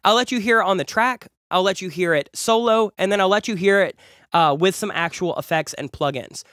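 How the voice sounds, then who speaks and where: A young man talks quickly and eagerly through a gear review, doubling words when he gets excited. His voice is a little nasal from a cold and sits fairly high for a man. The sound is close and dry, like a treated home studio. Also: no echo. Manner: enthusiastic, chatty, repeats words for emphasis. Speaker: music producer and YouTube host. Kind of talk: casual gear review talk. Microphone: large studio condenser close up.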